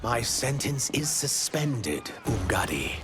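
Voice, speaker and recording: An actor playing a noble, defiant reptilian warrior in a dramatic video game, speaking formally and with resolve. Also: male voice, mid-range voice, sing-song, slow. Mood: solemn, resolute. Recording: loud music under the voice.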